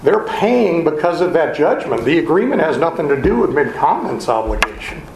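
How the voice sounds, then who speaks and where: A man's voice: a little high, very animated, brisk. A male attorney making an oral argument before an appeals court, pressing his points with rhetorical questions. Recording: roomy courtroom sound, faint noise.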